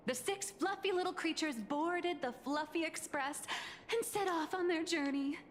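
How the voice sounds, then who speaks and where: A woman voicing a poised female comic artist in a video game, explaining in a measured, lightly wry way. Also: extremely high pitch, a little hiss or hum. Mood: calm. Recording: game audio with long reverb and faint music.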